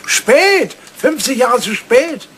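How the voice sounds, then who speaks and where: An older man, an actor playing an excitable, wistful old lord in love, theatrical and impulsive, in a radio comedy drama. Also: very high-pitched, slow, slightly rough, full character voice. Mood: eager. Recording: old radio play recording, faint noise.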